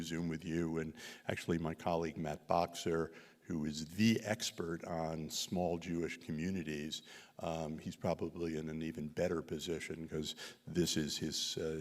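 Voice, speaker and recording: Low-voiced man with halting speech in a reverberant hall. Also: a little mellow. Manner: halting, many fillers and restarts. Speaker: visiting researcher presenting a community study. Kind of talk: Q&A answers after a talk. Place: synagogue hall. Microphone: handheld microphone.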